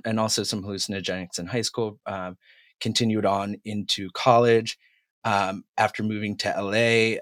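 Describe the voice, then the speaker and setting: A man's voice: fairly low pitch, a bit bright, no room sound. A man in his forties from Massachusetts tells his life story in a candid, reflective way, with frequent fillers. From Massachusetts, New England. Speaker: guest telling his story. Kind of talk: recorded personal story.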